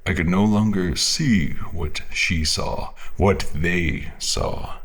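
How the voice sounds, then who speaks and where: A man playing a haunted, guilt-ridden fantasy general who narrates in a solemn, brooding, theatrical way, sometimes halting and slipping toward whispers. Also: low voice, fairly bright tone, slightly rough, exaggerated voice. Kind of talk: dramatic scripted first-person fantasy narration. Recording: background music under the voice.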